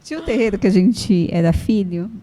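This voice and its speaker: A woman's voice: mid-range voice, animated, fairly quick, dark tone. A Brazilian woman guest on a podcast, telling funny stories about herself and laughing at her own mishaps. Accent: Brazilian Portuguese. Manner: self-mocking storyteller, laughs at herself. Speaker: guest, shop owner. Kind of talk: casual chat, telling anecdotes.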